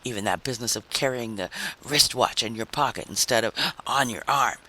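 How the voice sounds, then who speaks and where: A man voicing an enraged, jealous husband with a nasal, gasping voice, ranting in short phrases in a vintage radio science fiction drama. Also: fairly quick. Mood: hysterical. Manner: shrill, screechy, rising to yells. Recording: noisy vintage radio recording.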